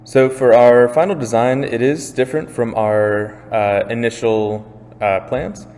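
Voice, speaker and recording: A man's voice: mid-range voice, steady tone, a little slow, darkish tone. A young man giving a scripted slide presentation as a student. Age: young adult. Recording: reverberant room, faint background noise.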